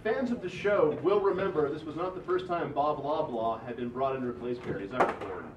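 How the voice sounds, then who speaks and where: A man's voice: a little high, a little fast, natural voice. A man playing a wry storyteller, reading scripted narration at a comedy table read. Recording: distant, echoey, dull recording with clear noise.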